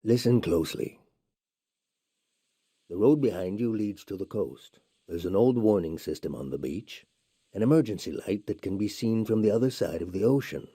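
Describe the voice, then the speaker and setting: An actor playing a solemn, earnest male monk in a game, speaking slowly and gravely with a husky voice. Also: lowish voice, animated, mellow, no echo, clean studio sound. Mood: urgent. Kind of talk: game voice acting.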